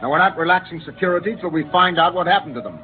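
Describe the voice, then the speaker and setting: An actor playing a stern, commanding spaceship captain in a 1950s radio drama, speaking curtly. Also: male voice, sits high, expressive, very fast, slight background noise. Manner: curt, authoritative. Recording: tinny old radio broadcast.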